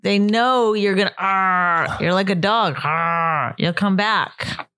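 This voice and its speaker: A woman's voice: mid-range voice, slow pace, bright, forceful tone, clean tone, no room sound. A woman co-hosting a podcast in casual chat, often backing up the other speaker with short replies. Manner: supportive, frequent backchannel.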